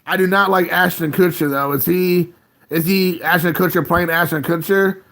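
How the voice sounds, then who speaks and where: Laid-back man with a gravelly voice. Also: high-pitched, steady tone, moderate pace, fairly bright tone. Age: 30s. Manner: rambling, laid-back, chuckling often. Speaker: livestream host. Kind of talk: casual live-stream chat with viewers. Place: home. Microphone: phone.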